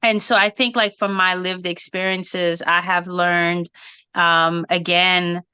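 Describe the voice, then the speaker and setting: A woman's voice: lowish voice, little pitch movement, slow pace, bright, forceful tone, clean tone, no room sound, dull audio. A woman who grew up in Haiti and speaks French as her first language, giving thoughtful interview answers with frequent ums and you-knows. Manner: thoughtful, many fillers like um and you know. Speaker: guest, writer and editor.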